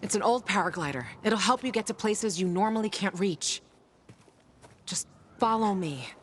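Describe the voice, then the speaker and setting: A woman's voice: medium pitch, animated, normal speed, a little hiss or hum, natural voice. An actress playing a tough, sardonic young woman guiding a newcomer, brash and teasing, in a game cutscene. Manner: brash, teasing, swears freely.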